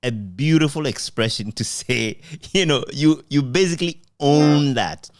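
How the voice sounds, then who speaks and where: A middle-aged man conducting a reflective, conversational interview in a sentimental, halting and thoughtful way. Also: mid-range voice, a little hiss or hum. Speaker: interviewer, journalist.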